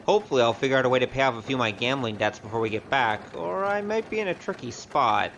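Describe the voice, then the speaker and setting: A man's voice: medium pitch, expressive, fast, fairly bright tone, highs cut off, slight background noise. A man playing a cheeky, easygoing young wandering gambler in an audio drama, with a wry, glib delivery. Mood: playful, wry. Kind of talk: scripted audio drama acting.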